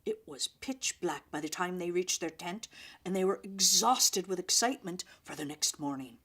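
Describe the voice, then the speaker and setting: A woman storyteller reading a children's story aloud in an expressive, deliberate way. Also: fairly low pitch.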